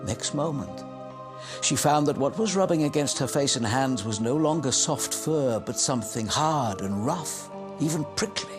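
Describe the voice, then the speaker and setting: A man giving an expressive narration of a children's fantasy story, with music behind him. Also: medium pitch, normal speed.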